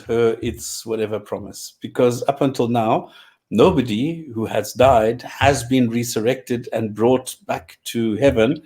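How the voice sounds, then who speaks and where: Casting: male co-host, mid-pitched, measured, given to repeating himself while he clarifies. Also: a little mellow. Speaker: podcast co-host. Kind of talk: casual discussion, reading translations.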